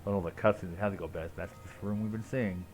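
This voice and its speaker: A man's voice: low voice, steady tone, fast. A man giving casual live commentary as a game streamer, wry and sweary. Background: game music.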